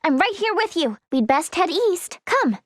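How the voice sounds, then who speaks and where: Very high, eager young game heroine. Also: female voice, big pitch swings, measured pace, no echo, studio quality, heavily acted. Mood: upbeat, guiding. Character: earnest, eager, kindly young female healer's helper. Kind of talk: scripted video game voice lines.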